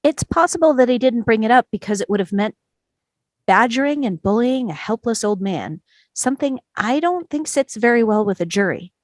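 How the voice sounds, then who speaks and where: A woman at a play table read, playing a calm, persistent juror who explains and tests evidence in a measured way. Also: on the high side, no echo, lightly acted. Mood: reasoning.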